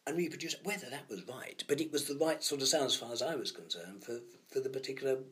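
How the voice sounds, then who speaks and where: A man musing about sound effects in an interview, sometimes dropping to hushed asides. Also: mid-range voice, quick pace. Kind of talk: anecdotal interview chat.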